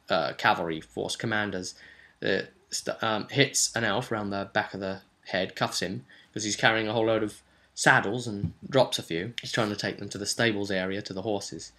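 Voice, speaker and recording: Bright, forceful man telling a story. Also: on the low side. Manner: chatty, halting, acts out short bits of dialogue. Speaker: game master recapping his tabletop role-playing session. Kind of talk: casual, rambling storytelling.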